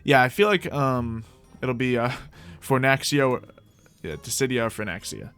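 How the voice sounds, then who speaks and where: A man's voice: medium pitch, normal speed, a bit bright, clear, resonant tone, soft background music. A man giving casual, rambling gameplay commentary as a video host, thinking aloud in a playful way. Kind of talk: casual rambling Minecraft let's play commentary.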